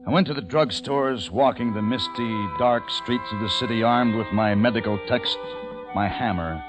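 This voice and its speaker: A low-pitched, solemn man played by a male actor carries the weight of a grieving survivor and leader. He speaks in dramatic old-time radio style, on an old recording with music running clearly behind him. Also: normal speed, lightly acted, low-quality recording. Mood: grim, solemn. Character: weary, grieving male survivor leading a small community. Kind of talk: old-time radio acting with first-person narration.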